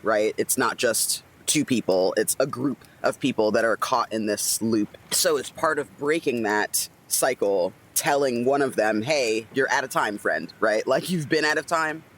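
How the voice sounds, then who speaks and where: A man's voice: mid-range voice, unhurried, hardly any pauses, fairly bright tone. A man playing a tabletop roleplaying game, casually reasoning aloud about his character and checking in with listeners.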